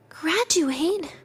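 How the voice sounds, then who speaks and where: An actress playing a frail, guarded young student in a video game, speaking in a soft, halting, reserved way. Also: female voice, very high-pitched, full character voice. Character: frail, guarded girl student, quietly attached to her friend.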